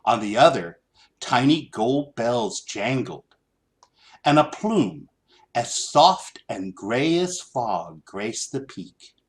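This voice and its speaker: A man reading a children's story aloud in an expressive, theatrical, deliberate style. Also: mid-range voice. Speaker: storybook reader.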